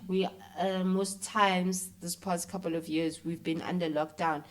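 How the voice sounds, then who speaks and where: South African woman, lowish voice, conversational interview guest, animated storyteller who slips between languages. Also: unhurried.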